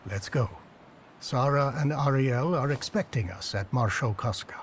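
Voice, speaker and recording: An actor playing a composed, genial male companion in a video game, with a calm, dry delivery. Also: mid-range voice, moderate pace, a little hiss or hum, lightly acted. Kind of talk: video game cutscene acting.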